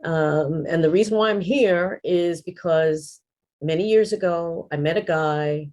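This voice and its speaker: Lower-voiced New woman. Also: measured pace, darkish tone. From New York. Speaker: guest. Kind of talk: interview answers.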